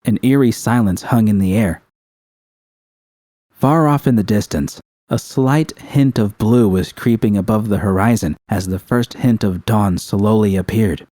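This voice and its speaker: Male audiobook narrator for fiction. Lower register, dark soft tone, dry sound. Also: measured pace, dry recording. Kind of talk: scripted narration.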